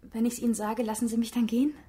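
A woman's voice: fairly high pitch, little pitch movement, fast, heavy background noise, close to an everyday voice. An actress playing a resigned, frank female hostage in a radio drama, speaking in a subdued, plain way. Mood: cautious.

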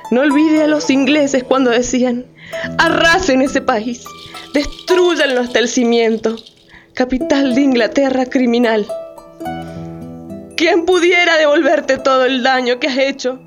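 An aggrieved woman in radio theatre. Her high voice recites dramatically and denounces destruction. Music plays clearly behind her. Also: a little slow. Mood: anguished, accusing.

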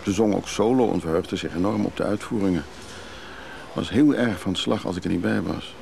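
Deep gravelly brooding man, noisy recording. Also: fairly quick, darkish tone. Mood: regretful. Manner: reflective, melancholy. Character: brooding father and husband, traveler, craving drink, remorseful. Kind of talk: radio play acting, confessional monologue. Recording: noisy old radio play recording.

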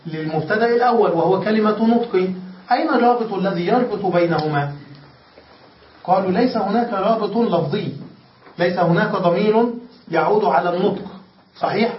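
A man's voice: high voice, fairly quick, long pauses, a little hiss or hum. A man teaching a classroom lesson who explains step by step, restates his points and keeps checking that his students follow. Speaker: grammar teacher. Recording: echoey room, distant lo-fi mic.

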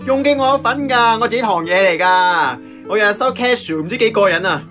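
Brash, cocky Hong Kong nephew. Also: male voice, high-pitched, animated, a little fast. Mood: defensive. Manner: cocky, exclamatory. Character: brash, teasing, defensive nephew managing the family diner. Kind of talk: radio drama acting. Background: music.

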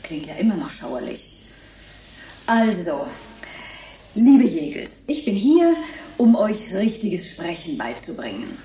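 A woman's voice: on the low side, big pitch swings, takes it slowly, long pauses, warm tone, heavily acted. An actress playing a wry, didactic woman who coaches androids in speech, in a science fiction radio play. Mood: brisk, instructive. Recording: echoey, clear background noise.

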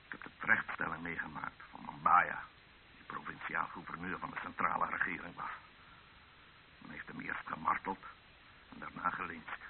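An actor playing a bitter, menacing rebel district commander in an old radio drama, with a stern, mocking, declamatory delivery. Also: male voice, rumbling low, flat delivery, moderate pace, creaky. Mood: stern, grim. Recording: hissy, narrow-band old radio drama recording.

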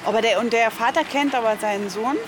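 A woman's voice: a little high, fast talker, background noise. A woman in casual conversation on location, asking questions and responding informally. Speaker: reporter following a banknote.

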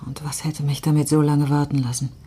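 An actress playing a bitter, sardonic middle-aged former activist in a radio drama, speaking in a wry, halting way. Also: female voice, low voice, level tone, dark tone, slightly rough. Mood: guarded, dry. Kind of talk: naturalistic radio drama dialogue.

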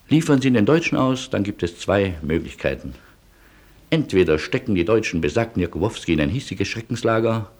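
Fatalistic, witty refugee for radio drama; deep, rough-edged voice, ironic and eloquent. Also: male voice, darkish tone. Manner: ironic, mock-solemn, eloquent. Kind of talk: German radio play acting.

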